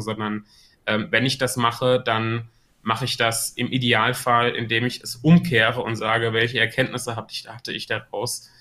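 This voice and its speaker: Hessian man, bright and hesitant. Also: mid-range voice. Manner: thoughtful, many filler sounds. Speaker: podcast guest, marketing coach. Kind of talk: casual podcast interview.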